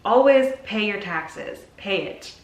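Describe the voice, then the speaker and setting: A woman's voice: medium pitch, slow pace, recorded from a distance. Young woman vlogger in a roomy, echoey space. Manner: animated, dramatizing her own thoughts in little acted asides; informal, slangy, candid. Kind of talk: casual chit-chat to camera. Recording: roomy echo, off-mic, faint background noise.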